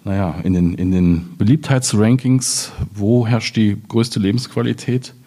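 A man's voice: lowish voice, unhurried, dark tone. A man from the Ruhr area in Germany, a poet talking casually and explaining things at a reading.

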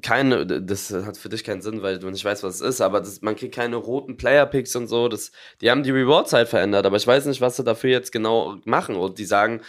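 A man's voice: lowish voice, fairly quick, hardly any pauses. A man co-hosting a podcast, talking eagerly about a video game with many restarts. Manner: enthusiastic gamer talk, fillers and restarts. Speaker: podcast co-host. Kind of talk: casual podcast chat.

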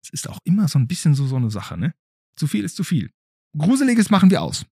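Male podcast host, lively swooping pitch, husky edge, playful, conversational, questioning asides. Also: fast, no echo, studio quality. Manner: playful, hesitant phrasing with rhetorical tag questions. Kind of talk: casual conversational podcast interview.

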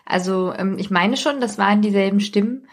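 Female podcast speaker, medium pitch, casual conversational delivery, chatty and anecdotal. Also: fairly quick. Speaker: podcast co-host or guest.

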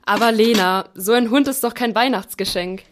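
A woman's voice: fairly high pitch, moderate pace, slight background noise, lightly acted. An actress playing a sensible, reluctant mother who reasons with her child in a children's radio play. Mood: skeptical. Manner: reasoning, admonishing.

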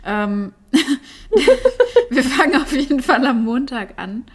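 A woman's voice: high-pitched, very animated, slow pace, husky. A woman co-hosting a casual chat podcast, chatty with lots of fillers and frequent laughter, a bit tired. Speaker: podcast co-host.

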